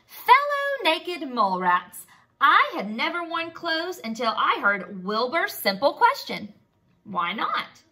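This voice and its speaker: A wise old grandfather mole rat, put on by a woman reading a storybook, proclaims with solemn, regal importance. The voice is bright and raised, with a slow, stately pace. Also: sits high, wildly swooping pitch, voice pushed up, full character voice. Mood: solemn, reflective. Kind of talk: storybook read-aloud for children.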